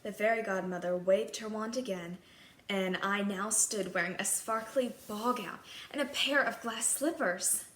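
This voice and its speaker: A woman's voice: medium pitch, a little slow, fairly bright tone. A woman narrating a scripted children's fairy tale in the first person, in character as a princess. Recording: echoey room, clear background noise.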